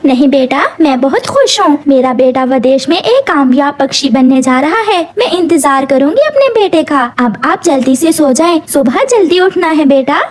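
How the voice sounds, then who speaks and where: A woman's voice: high-pitched, lively intonation, normal speed, hardly any pauses. A woman voicing an earnest, tender cartoon mother bird who pleads plaintively for her child. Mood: tender, proud. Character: kind, hardworking mother bird, tearful over stolen savings. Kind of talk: Hindi moral-story cartoon acting.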